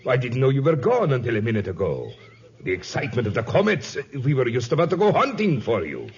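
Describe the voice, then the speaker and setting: A man's voice: medium pitch, sing-song, fast, husky. An actor playing an effusive, courtly middle-aged plantation owner and father in a 1950s radio drama. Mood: relieved, fussing. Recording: dull lo-fi 1950s radio recording.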